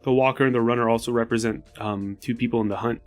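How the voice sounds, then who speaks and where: A man's voice: medium pitch, steady tone, fast talker. Man thinking aloud in a tabletop game. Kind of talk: casual collaborative worldbuilding talk. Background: music.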